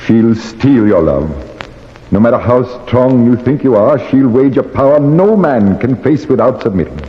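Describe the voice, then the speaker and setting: A man's voice: medium pitch, lively intonation, moderate pace, natural voice. A grave lord of a cursed manor played by an actor pleads with solemn, melodramatic weight. The old radio recording is echoey and muffled. He sounds like a fatalistic, protective father. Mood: dire warning. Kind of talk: old-time radio horror drama acting.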